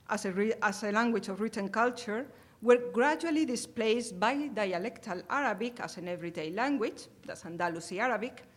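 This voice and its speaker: A woman with a Spanish accent delivers a formal academic lecture at a conference. Her voice sits at a middling pitch, and she moves through the material carefully, often pausing to hesitate. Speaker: conference presenter.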